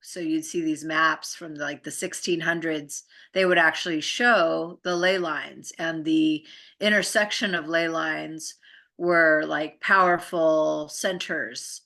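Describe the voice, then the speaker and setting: A woman's voice: low voice, steady tone, takes it slowly, creaky, pushed and bright. A middle-aged woman co-host in a casual chat, explaining things in a matter-of-fact way.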